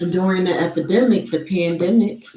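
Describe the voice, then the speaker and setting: A woman giving a tarot reading who talks slowly and deliberately, sniffling from allergies, and calls on spirits in a measured, invocation-like way. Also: lowish voice, reverberant, rough recording. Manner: slow, soft and deliberate, with an invocation-like cadence. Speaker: tarot card reader.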